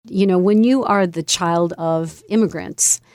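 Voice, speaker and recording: A woman's voice: on the low side, unhurried, a little mellow, no echo, clean studio sound. A woman hosting an interview, asking friendly, encouraging questions. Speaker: interview host.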